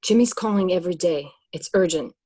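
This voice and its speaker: A woman's voice: on the low side, fairly quick, warm tone, slightly rough. A woman playing a mobster's anxious, defensive wife in an amateur read of a crime film script. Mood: urgent, anxious.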